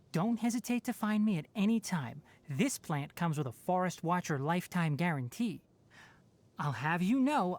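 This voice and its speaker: An actor playing a young male forest ranger with fox ears and a tail, composed, wry and knowledgeable, in video game voice lines. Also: high-pitched. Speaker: game character.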